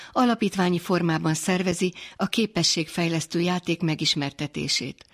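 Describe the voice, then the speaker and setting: A woman narrating the program, reading a script in an even announcing manner. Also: on the low side.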